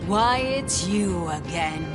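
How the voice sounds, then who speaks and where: A woman's voice: lowish voice, lively intonation, takes it slowly, music in the background. An actress playing a haughty, theatrical announcer of a warlike city's festival in a video game, grandiose and mocking as she declaims. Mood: scornful.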